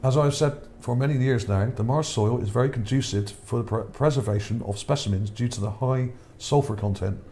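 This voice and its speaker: A man's voice: mid-range voice, fairly quick, hardly any pauses, mellow, slight background noise. A man whose voice is starting to wear out. He talks over images in a rambling way, repeats words and checks in with "okay?". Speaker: channel host. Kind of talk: casual narration over images, reading his article.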